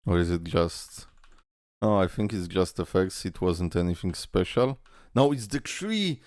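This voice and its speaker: A man's voice: low voice, a little slow, no echo. A man giving casual live reaction commentary, blunt, exclamatory and full of swearing, as the host of a reaction video. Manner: animated, exclamatory, blunt and profane. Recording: game trailer music behind the voice. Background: music from the video being watched.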